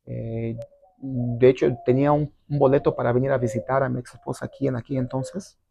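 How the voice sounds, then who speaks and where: A man's voice: medium pitch, little pitch movement, fairly quick, creaky, dark tone, husky, lo-fi sound. A middle-aged man whose first language is not Spanish, telling his story in a casual interview, rambling with many fillers and tag questions. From abroad, not Mexico. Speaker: guest entrepreneur.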